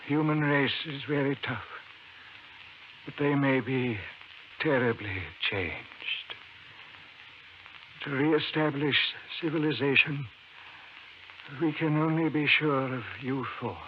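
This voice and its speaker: An actor playing a weak, dying old professor in a 1950s radio drama, speaking in an earnest, solemn, halting way. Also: male voice, mid-range voice, close to an everyday voice. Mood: solemn, reassuring. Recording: dull, noisy 1950s radio recording.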